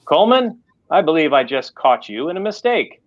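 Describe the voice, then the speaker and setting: A man's voice: fairly high pitch, sing-song, moderate pace. A very old, irritable tycoon played by a man reading aloud in a science fiction story. He is stubborn and grumbles petulantly. The recording is slightly dull. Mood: needling.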